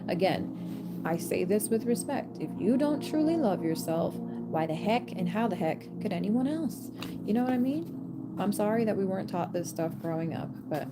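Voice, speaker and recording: A woman's voice: medium pitch, animated, moderate pace. A woman giving a chatty intuitive reading, breaking into laughing asides and stopping herself mid-thought. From American. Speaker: tarot reader. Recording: loud background music.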